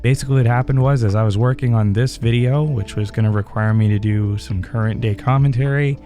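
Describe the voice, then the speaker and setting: A man hosting his gaming channel, talking in a relaxed, rambling way with fillers, his voice still strained from a head cold. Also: medium pitch, brisk, soft background music. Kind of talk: casual gameplay commentary.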